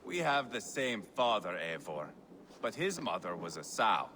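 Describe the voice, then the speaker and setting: Game role: crude, mocking Viking brawler, sharp forceful voice, big swings in pitch. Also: male voice, big pitch swings, unhurried, a little hiss or hum, full character voice. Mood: sneering. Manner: mocking, foul-mouthed, theatrical. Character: brash, crude, bloodthirsty Viking warrior brother. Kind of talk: video game cutscene acting.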